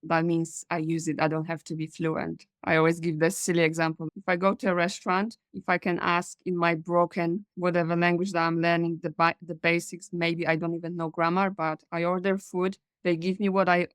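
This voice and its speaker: Upbeat lower-voiced woman host. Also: little pitch movement, a little fast, hardly any pauses, smooth, no room sound. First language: Polish. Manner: upbeat, laughs often. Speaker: podcast host. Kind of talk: casual interview conversation.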